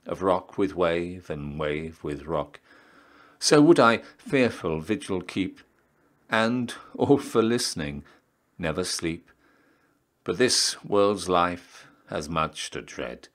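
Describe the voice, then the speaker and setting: A man reciting poetry in a measured, solemn and theatrical way, often letting his line endings drop to a hush. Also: low-pitched. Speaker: poetry reader, town crier.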